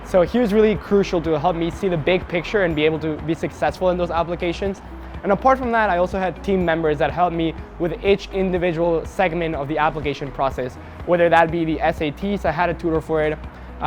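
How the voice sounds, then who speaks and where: A young man from Mexico City, a university student giving a relaxed testimonial, talking casually with a lot of "uh" fillers. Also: a little high. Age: young adult. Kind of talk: testimonial to camera.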